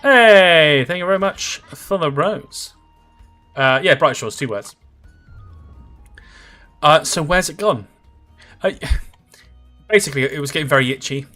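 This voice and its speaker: Man, thirties, livestream chatter, filler-heavy, bright voice. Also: fairly high pitch, animated, unhurried, pauses often, soft background music. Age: 30s. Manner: rambling, chatty, filler-heavy, reads out and answers viewer comments. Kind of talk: casual livestream chat while gaming.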